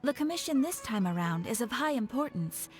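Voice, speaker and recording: An actress playing a composed woman giving an urgent request, speaking in a measured, earnest way. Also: on the high side, big pitch swings, soft background music. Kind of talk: video game voice acting.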